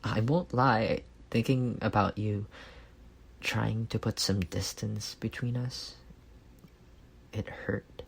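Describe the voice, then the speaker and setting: A man acting a reserved, analytical young man in an intimate ASMR romance roleplay, speaking softly and deliberately with some hesitation. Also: lowish voice, fairly even intonation, gravelly, breathy edge, heavily acted. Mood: subdued, pensive. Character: reserved, analytical young man confessing love to best friend.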